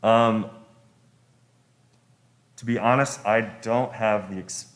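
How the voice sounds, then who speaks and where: A man's voice: on the low side, steady tone, slow. A young man giving informal testimony as a homeowner at a public hearing, speaking politely and deferentially with some hesitation. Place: public meeting room.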